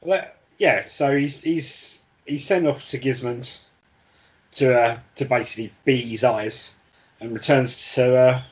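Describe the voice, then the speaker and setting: Man with mid-pitched voice, chatting casually. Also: fairly even intonation, unhurried, rough recording. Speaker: podcast co-host. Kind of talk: casual book discussion chat.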